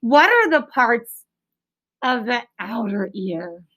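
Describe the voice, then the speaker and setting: A woman's voice: fairly high pitch, big pitch swings, slow, bright, forceful tone. A woman teaching a class as a schoolteacher, explaining in simple phrases and prompting pupils with leading questions in an encouraging way.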